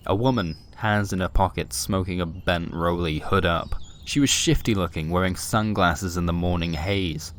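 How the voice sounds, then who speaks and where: Low-pitched man narrating a wry story. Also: normal speed, few pauses, a bit bright, a little hiss or hum. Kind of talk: scripted comic sci-fi narration.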